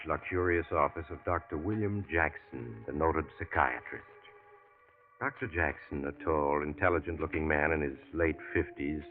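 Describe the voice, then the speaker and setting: An actor playing a sinister male storyteller who hosts and narrates a 1940s radio drama with a slow, ominous, teasing delivery. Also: a bass voice. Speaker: narrator and host. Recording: tinny old radio broadcast with loud music.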